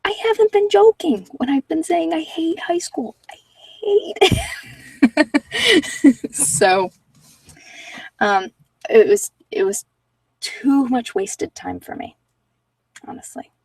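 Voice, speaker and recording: A woman's voice: high-pitched, lively intonation, slow, creaky, pauses often, darkish tone, no room sound, distant mic, rough recording. A woman chatting casually and candidly with live viewers as a livestream host, in an informal, self-deprecating, rambling way. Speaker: livestream host giving card readings.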